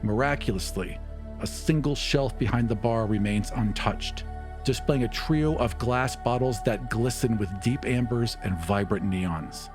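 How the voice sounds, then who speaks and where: A male game master narrates in a dramatic, descriptive style. His middle-pitched voice is deliberate and theatrical, with music clearly playing behind it.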